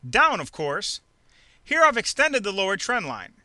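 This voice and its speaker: A man reading a scripted instructional narration in a clearly enunciated, measured, teacherly way. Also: sits high, huge pitch swings, pushed and bright, no room sound. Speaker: narrator of an instructional trading video. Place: close dry voice-over recording.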